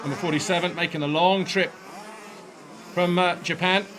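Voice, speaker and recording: A man giving brisk, excited play-by-play commentary on a motocross race as a studio voice-over. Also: sits high, noisy background. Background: music bed.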